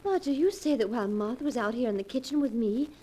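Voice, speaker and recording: A woman's voice: fairly high pitch, expressive, fast talker, warm tone. A woman playing a nervous female schemer, agitated and urgent, in 1940s radio drama style. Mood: alarmed. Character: scheming, nervous wife in league with plotter.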